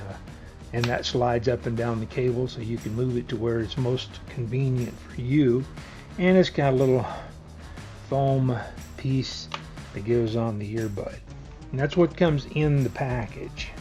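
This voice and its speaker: A man's voice: medium pitch, warm tone. A man giving a casual hands-on product demonstration in a plainspoken, step-by-step explanatory way. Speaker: product reviewer.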